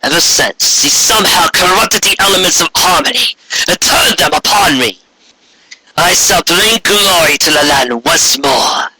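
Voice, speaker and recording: A man's voice: high voice, animated, pushed and bright, slight background noise, voice pushed up, full character voice, low-quality recording. A man voicing a regal, menacing female alicorn tyrant in an archaic, grandiose manner for a storybook reading. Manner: archaic, grandiose, slow proclamations.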